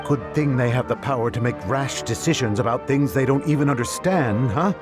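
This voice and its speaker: A man's voice: mid-range voice, a little fast, music in the background, lightly acted. A man playing an outspoken, loyal, indignant young man in an English anime game dub, with an emphatic manner. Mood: indignant, confident.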